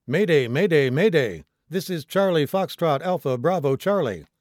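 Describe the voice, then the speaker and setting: A man acts out a male castaway pilot in an audiobook, desperate and full of panic. The delivery is urgent and emphatic, crying out with wide swings of pitch. The sound is close and dry. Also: animated, normal speed, no echo, lightly acted. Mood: urgent distress.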